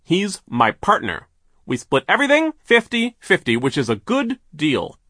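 A loud, blustering, pompous boss. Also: male voice, a little high, wildly swooping pitch, no room sound, studio quality, voice pushed up, full character voice. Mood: blustering, self-satisfied. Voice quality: forceful, drawn-out emphatic delivery. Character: loud, domineering, stingy male lumber mill boss. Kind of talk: audiobook character voice.

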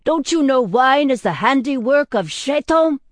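A man's voice: very high voice, lively intonation, unhurried, no room sound, pitched above the performer's own voice. A man voicing an outraged pious man from a medieval crowd, roaring accusations in an audiobook style. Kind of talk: audiobook character acting.

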